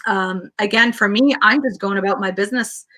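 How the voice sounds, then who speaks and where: A Canadian woman counselor speaks with a bright, forward tone. She tells her story earnestly and quickly, often restarting her sentences as she goes. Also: medium pitch. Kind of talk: interview answers, personal storytelling.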